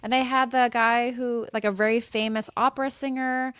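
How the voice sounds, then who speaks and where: A young woman in her twenties co-hosting a casual podcast, chatty and bubbly, full of fillers and quick to laugh. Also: a little high, level tone, fairly bright tone, smooth, no room sound. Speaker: podcast co-host. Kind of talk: casual chat.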